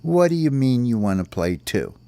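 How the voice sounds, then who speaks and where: A man's voice: mid-range voice, sing-song, moderate pace, vocal fry, dark tone, no echo, pitched above the performer's own voice. A man voicing a young little bird, wary but friendly and playful, in a children's story. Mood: puzzled.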